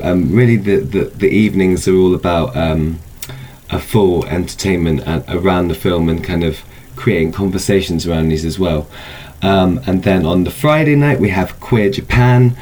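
A man's voice: sits low, darkish tone, slight background noise. A man speaking as an event organiser in an informal interview, explaining things in a loose, hedging way. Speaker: film festival organiser, interview guest.

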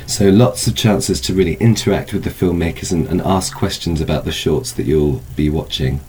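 A man with a deep voice talks as an interview guest presenting a programme. He explains things conversationally, searching for phrases and doubling back on words. There is some noise behind him. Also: normal speed, darkish tone. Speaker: film festival organiser and interview guest.

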